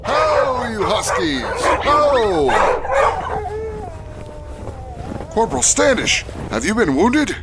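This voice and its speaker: A heroic Mountie, acted by a man, speaks in earnest old-time radio style. He is commanding and declamatory, with hugely animated pitch. Loud ambience surrounds the voice. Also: takes it slowly, very noisy, full character voice. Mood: urgent. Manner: stalwart, declamatory.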